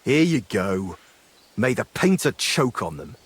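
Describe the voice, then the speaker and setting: A husky, grumbling dice gambler. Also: male voice, lowish voice, sing-song, measured pace, soft background music, full character voice. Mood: bitter. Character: superstitious, sore-losing male medieval dice gambler. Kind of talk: video game dialogue acting.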